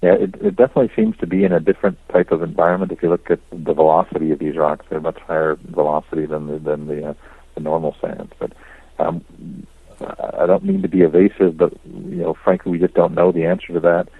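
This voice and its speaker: Male executive on an earnings call, very deep and even-toned, careful and noncommittal, dry close sound. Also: gravelly, husky, dry recording, narrow, tinny audio. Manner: hedging, cautious, measured answers. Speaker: company executive answering investor questions. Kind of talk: earnings call question and answer. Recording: dry conference call audio.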